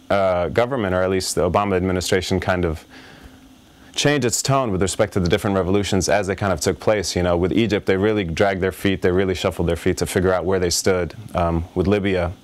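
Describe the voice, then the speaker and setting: A man answering interview questions in a thoughtful, measured way, with frequent fillers and restarts. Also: low voice. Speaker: interview guest, rapper.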